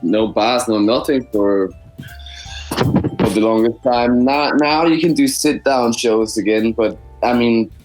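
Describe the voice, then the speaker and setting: A musician speaking English, a man giving halting, reflective interview answers full of restarts. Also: mid-range voice, a little mellow, faint music behind, poor audio. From Denmark. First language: Danish. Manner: halting, many restarts and repeats. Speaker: musician guest.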